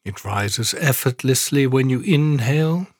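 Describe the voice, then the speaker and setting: Male meditation narrator, unhurried and calm, mid-range voice, soothing scripted guidance with generous pauses. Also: mid-range voice, dry recording, clean studio sound. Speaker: meditation guide, podcast host. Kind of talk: guided yoga nidra relaxation meditation, scripted narration.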